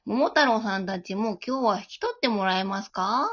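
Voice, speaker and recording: A woman's voice: mid-range voice, normal speed, fairly bright tone, close to an everyday voice. A woman playing a mild, clock-watching ogre worker, casually polite and matter-of-fact, in an amateur comedy radio drama. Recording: dull lo-fi audio.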